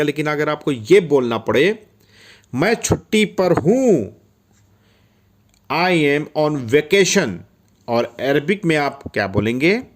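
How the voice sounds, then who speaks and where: Slow-spoken man teaching Arabic. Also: medium pitch. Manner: repeats each phrase slowly in Hindi, English and Arabic; often checks in with "ठीक है?". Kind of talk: spoken Arabic lesson for Indian workers in the Gulf.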